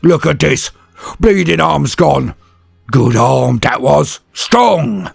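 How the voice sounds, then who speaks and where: A hulking orc warlord with a gravelly, husky bark, as a male narrator puts him on, taunting his foe in coarse slang. He sounds bigger and rougher than an ordinary man. The delivery is dry and close, with faint music behind. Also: fairly high pitch, animated, slow pace, dry recording, voice pushed up, heavily acted. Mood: enraged. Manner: gruff slangy barking, coarse grammar.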